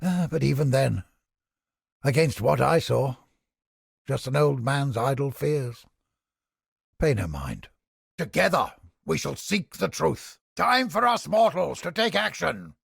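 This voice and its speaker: An actor playing a cynical, haughty old scholar of the mind in a video game, with a raspy, gravelly voice and a pompous, irritable manner. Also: male voice, a little high, lively intonation, slow, pauses often, no echo, studio quality, full character voice. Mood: grave, brooding. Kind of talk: dramatic video game voice acting.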